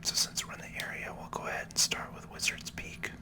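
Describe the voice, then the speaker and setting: A person whispering soft, unhurried ASMR commentary while narrating a video game playthrough step by step.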